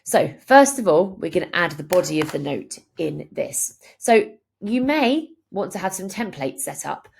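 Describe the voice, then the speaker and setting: Low, even-pitched woman giving a tutorial. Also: moderate pace, slight background noise. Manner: clear step-by-step explaining. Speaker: software tutorial presenter. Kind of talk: screen-share tutorial narration, instructional.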